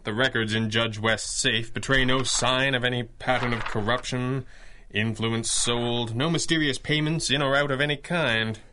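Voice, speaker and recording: An actor playing a clever masked crime fighter in a 1930s-style radio adventure, speaking formally with dry understatement and command. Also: male voice, mid-range voice, fairly even intonation, normal speed, noisy background, natural voice. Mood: dry, thoughtful. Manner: formal, wordy, dryly understated. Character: masked male mystery-man hero, clever, dry, commanding.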